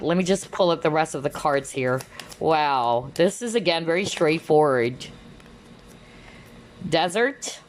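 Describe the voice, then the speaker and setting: A woman giving a casual tarot card reading, chatty and animated, often exclaiming and checking in with tag questions. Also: lowish voice, slight background noise. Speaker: tarot reader.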